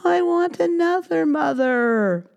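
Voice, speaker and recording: A woman's voice: lively intonation, slow pace, creaky, darkish tone, exaggerated voice. A woman doing a wailing baby mouse boy. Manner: shrill, wailing. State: crying. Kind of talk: storybook reading.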